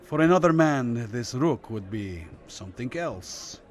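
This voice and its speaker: A courtly Cold War communist official from Lithuania , acted by a man, in a radio thriller. He talks in measured, formal phrases with quiet menace and condescension, in a medium-pitched voice. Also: lively intonation, close to an everyday voice. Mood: courtly, sardonic.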